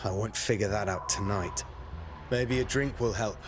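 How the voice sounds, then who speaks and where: A man's voice: lowish voice, normal speed, noisy background, close to an everyday voice. A male actor playing a proud, cocky young Persian warrior in a video game, speaking tersely with self-assurance. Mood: proud, brooding. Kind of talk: dramatic video game acting. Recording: music behind the voice.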